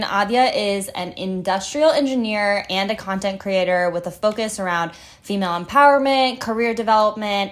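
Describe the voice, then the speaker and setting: A woman co-hosting a podcast, playful and wry, trading quick asides before introducing the guest. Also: mid-range voice. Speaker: podcast co-host.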